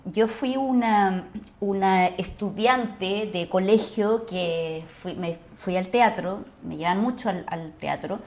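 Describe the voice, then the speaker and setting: A woman's voice: mid-range voice, slow, a little hiss or hum. A woman with a Chilean accent, an actress speaking casually as a podcast guest.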